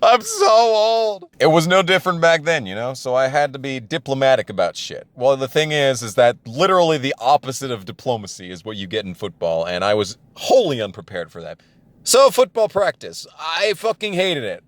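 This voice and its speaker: A man's voice: mid-range voice, big pitch swings, moderate pace, dry recording. A man in his twenties telling a personal story casually and comedically, with profane, exasperated ranting and emphatic repetition. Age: 20s. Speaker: storyteller on a gaming channel.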